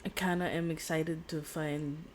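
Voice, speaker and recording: A woman's voice: low voice, little pitch movement, measured pace, slight background noise. A woman livestreaming a game with casual, giggly, rambling commentary. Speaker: streamer. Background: video game audio.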